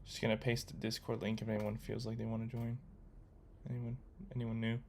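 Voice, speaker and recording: A man's voice: on the low side, fairly quick, gravelly, slight background noise. A young man chatting casually on a coding livestream, reading viewer comments aloud and rambling with lots of ums and uhs. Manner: relaxed, rambling, reads chat aloud with many fillers. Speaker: livestreamer learning to code.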